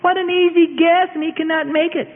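A man's voice: extremely high pitch, level tone, a little fast, pitched above the performer's own voice. A cruel male mocker from a religious radio drama, acted out by a man. He jeers in a raised, sing-song voice full of glee. The sound is thin and phone-like, with long reverb. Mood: gleeful taunting. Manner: taunting, sing-song, gleeful. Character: cruel jeering male mocker among captors.

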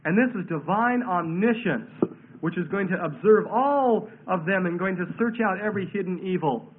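Through a thin, narrow radio-like band comes a male preacher with a notably high voice. He sounds congested with a head cold. He expounds scripture verse by verse in an emphatic, declamatory way, repeating key phrases. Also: animated, moderate pace. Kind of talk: verse-by-verse Bible sermon.

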